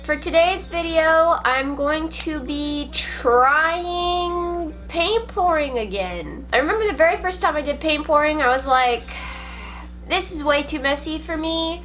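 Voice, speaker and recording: A young woman with a high, stuffy, congested voice, narrating her crafting vlog in a chatty, upbeat and self-mocking way. Faint music plays softly under her voice-over. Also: measured pace. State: congested from a cold. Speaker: YouTube crafts vlogger. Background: faint background music.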